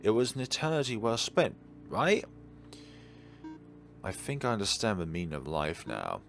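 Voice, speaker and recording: A man's voice: lowish voice, big pitch swings, normal speed, vocal fry, fairly bright tone, faint music behind. A man hosting a let's play, reading aloud and musing in a rambling, digressive way. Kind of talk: reading a visual novel aloud with rambling off-script commentary.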